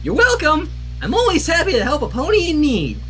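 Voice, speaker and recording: A man's voice: extremely high pitch, huge pitch swings, brisk, fairly bright tone, slight background noise, pitched above the performer's own voice, full character voice. A man voicing a bubbly, eager young female pony baker who is hyperactively cheerful, in a cartoon fan story. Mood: cheerful, motherly.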